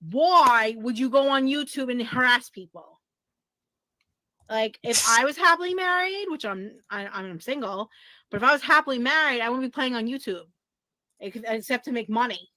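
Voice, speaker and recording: Woman, profane defensive rant, high, very dry mic. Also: lively intonation, normal speed, sharp tone, no room sound, low-quality recording. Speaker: streamer being reacted to. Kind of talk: angry livestream rant.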